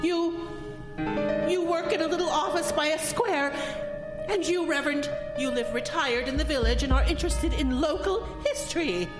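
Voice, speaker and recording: An actress playing a bewildered, frightened woman in a haunted-house audio drama, speaking haltingly and plaintively. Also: high-pitched, close to an everyday voice. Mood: bewildered, indignant. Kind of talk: audio horror drama acting. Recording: echoing hall, loud music underneath.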